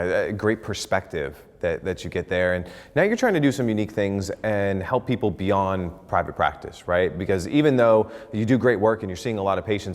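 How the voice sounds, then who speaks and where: Upbeat male show host, quick, lower-pitched, reverberant room. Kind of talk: interview hosting.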